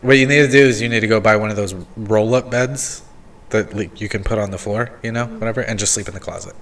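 A man's voice: lowish voice, steady tone, quick pace, a bit bright. A man co-hosting a casual podcast, nasal and teasing as he talks with friends. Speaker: podcast co-host. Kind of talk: casual chat.

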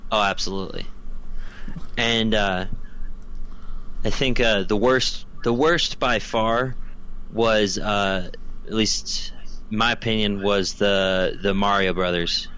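A man's voice: lowish voice, steady tone, slow pace, pauses often, bright, forceful tone, low-quality recording. A man chatting casually as a podcast guest, giving his opinions in a rambling way with emphatic repetition. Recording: clear background noise.

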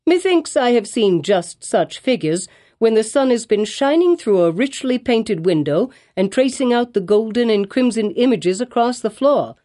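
A woman's voice: fairly high pitch, lively intonation, normal speed, mellow, voice pushed up, lightly acted. A woman voicing a kindly, fussy old male minister with quaint archaic speech. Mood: curious.

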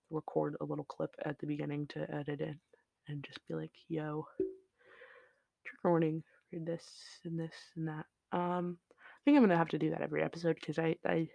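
A podcast host talking in an unscripted solo chat, with a flat, monotone delivery, rambling from one tangent to the next with lots of fillers, into a shelf-mounted mic. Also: mid-range voice, vocal fry, no echo. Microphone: shelf-mounted studio mic.

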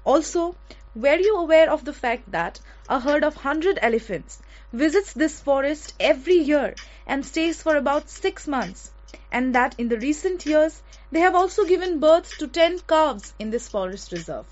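A woman's voice: high-pitched, expressive, moderate pace. A woman with an Indian accent presenting a radio show in a conversational style. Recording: dull lo-fi audio.

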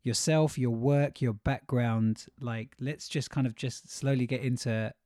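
A man hosts a casual podcast chat. His voice is mid-pitched and recorded dry, and he talks informally, circling around his point as he goes. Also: steady tone, a little slow, mellow, no echo. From London, England. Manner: meandering, informal, hedging. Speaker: podcast host.

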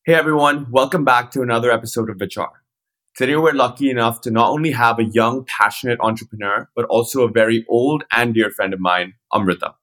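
A man's voice: mid-range voice, brisk, a bit bright. A man in his twenties co-hosting a podcast in a conversational interviewing style, wordy and often repeating his phrases. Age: 20s. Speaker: podcast co-host.

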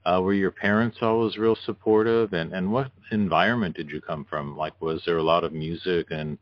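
Deep-voiced man interviewing, dry sound. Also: fairly even intonation, a little fast, dry recording, dull audio. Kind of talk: conversational music interview.

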